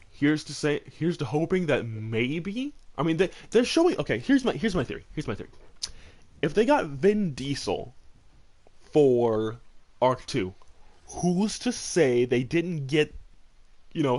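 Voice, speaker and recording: Animated man rambling about his opinions. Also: mid-range voice. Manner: rambling, emphatic, repeats phrases for stress. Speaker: host of a gaming discussion show. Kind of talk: casual chat, opinionated commentary.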